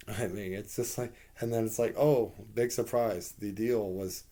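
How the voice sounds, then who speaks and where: A man with a hoarse voice giving a rambling, candid spoken TV review, with plenty of fillers and the odd curse. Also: lowish voice, fairly even intonation, a little slow, mellow.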